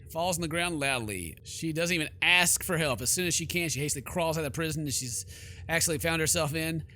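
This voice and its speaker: A man's voice: on the high side, quick pace, sharp tone, a little hiss or hum. A man doing let's play narration of a horror visual novel, with playful slang and joking asides.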